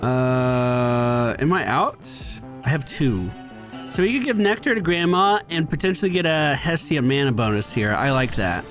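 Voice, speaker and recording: A man's voice: mid-range voice, moderate pace, a bit bright, faint music behind. A man giving casual running commentary as he plays a video game, talking to himself with exasperated exclamations and swearing. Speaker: gaming video creator.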